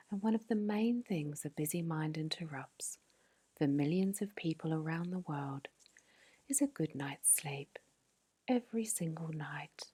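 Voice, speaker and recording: Woman, breathy whisper, sleep meditation guide, very slow, low and mellow delivery. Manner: slow, soothing, gentle.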